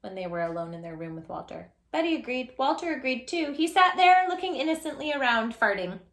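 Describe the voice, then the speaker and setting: A woman hosting a read-aloud storytime for young children, speaking in an animated, emphatic way straight to her little listeners. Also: high voice, fairly quick. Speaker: children's storytime host and tutor.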